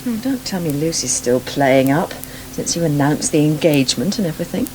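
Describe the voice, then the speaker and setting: An actress playing a chatty, sympathetic countrywoman in a naturalistic radio soap, gently teasing as she talks. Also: low-pitched, lively intonation, fairly quick. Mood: gently reassuring. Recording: noisy 1980s radio broadcast.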